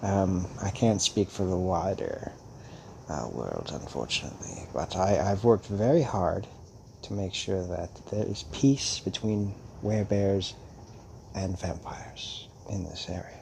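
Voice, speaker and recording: A man playing a calm, fatherly old vampire mentor in an intimate audio roleplay, musing hesitantly with many pauses. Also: deep voice, creaky, slight background noise, full character voice. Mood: measured, earnest.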